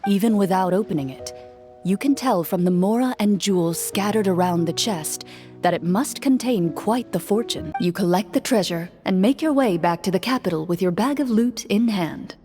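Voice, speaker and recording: A woman narrating a fantasy quest in the second person with measured storytelling. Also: medium pitch, dark tone. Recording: with music behind.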